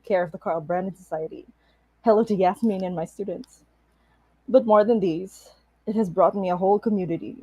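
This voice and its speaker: Mellow, husky woman giving a speech. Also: lowish voice, dry recording, poor audio. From Philippines. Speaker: award winner, writer. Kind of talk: prepared acceptance speech.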